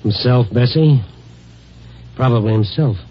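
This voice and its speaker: A man's voice: mid-range voice, unhurried, soft background music, lightly acted. A man playing a wry night-beat reporter. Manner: laconic, wry first-person narration. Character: world-weary male night reporter, wry. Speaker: lead actor of a radio drama. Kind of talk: 1950s hard-boiled radio narration. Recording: dull lo-fi old radio recording.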